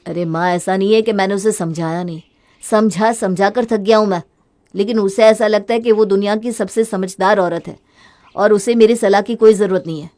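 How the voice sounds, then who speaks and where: A woman's voice: mid-range voice, steady tone, moderate pace, dark tone, close to an everyday voice. A woman voicing a young husband in a storybook drama, fed up with his wife's spending and mocking her sarcastically. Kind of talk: storybook voice acting.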